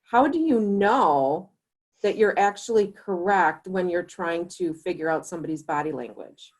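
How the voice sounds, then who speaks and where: A woman's voice: fairly low pitch, animated, moderate pace. Upbeat woman co-host asking questions. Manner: upbeat, asks direct questions. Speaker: podcast co-host. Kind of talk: casual interview hosting.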